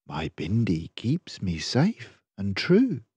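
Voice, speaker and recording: A man voicing a gentle, patient mother explaining things to her young son in a children's storybook. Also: lowish voice, huge pitch swings, slow, exaggerated voice.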